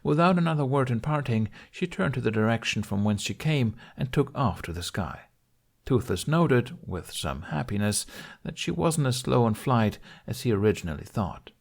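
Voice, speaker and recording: A man's voice: medium pitch, expressive, moderate pace, vocal fry. A man reading a scripted fantasy story as an audiobook narrator.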